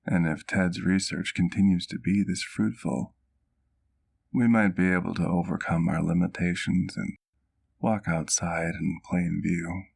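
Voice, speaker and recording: A man playing a doting, courteous vampire doctor in an intimate ASMR roleplay, with formal, affectionate diction and gentle teasing. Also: low voice, steady tone, a little slow, creaky, full character voice. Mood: proud, tender.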